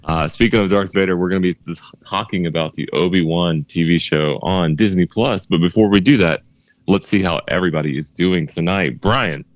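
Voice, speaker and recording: A man hosting a casual podcast with friends, his voice deep and rough from a cold, laid-back and quick to laugh. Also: dry recording. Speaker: podcast host.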